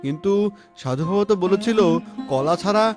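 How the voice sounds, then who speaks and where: A fairly high-pitched village husband from a cartoon. He is earnest and weary, gently scolding as he repeats himself. Music plays clearly behind him. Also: lively intonation, a little fast. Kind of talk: cartoon voice acting.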